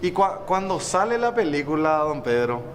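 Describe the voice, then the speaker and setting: A man's voice: on the high side, very animated, moderate pace, crowd noise. A male interviewer in a casual chat, prompting his guest with short, friendly questions. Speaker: interviewer and host.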